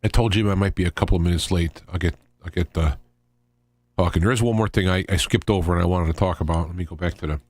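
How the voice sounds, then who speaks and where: Low-voiced man rambling on talk radio. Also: darkish tone, no room sound. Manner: rambling, explanatory, halting with repeated word starts. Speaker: radio host. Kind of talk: informal live radio talk monologue.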